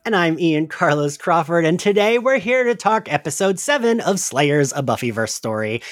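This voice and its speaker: A man's voice: on the high side, very animated, moderate pace, dry recording, studio quality. A man hosting a podcast, chatting casually and informally with plenty of "like" in his talk. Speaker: podcast host. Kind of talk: casual chat about an audio drama.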